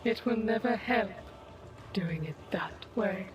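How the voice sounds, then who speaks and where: A woman playing an eerie, knowing monstrous older sister in a dark fantasy audio drama, with a smooth, whispery voice and slow, deliberate delivery. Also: mid-range voice, muffled recording, natural voice. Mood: cold, knowing. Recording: noisy ambience behind the voice.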